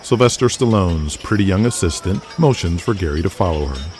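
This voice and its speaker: A man narrating an audio drama in present tense with vivid, deliberate storytelling. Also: deep voice, animated, darkish tone, slight background noise.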